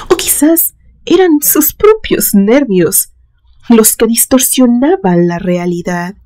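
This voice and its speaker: Woman reading a spooky story, soft dark mellow tone, measured and dramatic, medium pitch. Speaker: narrator reading a horror story. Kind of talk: scripted literary narration, suspense story.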